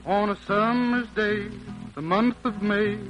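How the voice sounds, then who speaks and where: High-voiced folksy hobo of 1940s radio. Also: male voice, steady tone, slow, lo-fi sound, music in the background. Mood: easygoing singing. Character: genial hobo rail-yard worker, folksy schemer. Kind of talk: 1940s radio acting with folk singing.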